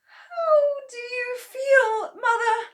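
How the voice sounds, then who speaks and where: A woman voicing a frantic, tearful wife who wails in a shrill, high voice. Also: level tone, takes it slowly, sharp tone, distant mic, heavily acted. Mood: wailing. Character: frantic wailing middle-aged wife.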